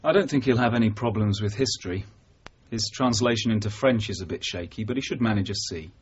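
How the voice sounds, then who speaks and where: An actor playing an earnest, understated British detective sergeant in a 1980s radio drama, speaking in a measured, dutiful way. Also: male voice, lowish voice, breathy edge. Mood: resigned, downbeat. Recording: dull, noisy radio broadcast.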